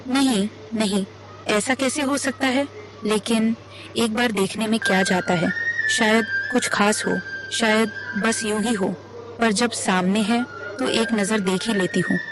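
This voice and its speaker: Woman doing a earnest poor village wife for a cartoon story, full of wonder, music clearly behind her. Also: a little high, steady tone, a little slow, natural voice. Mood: wondering, doubtful. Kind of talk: cartoon moral story acting.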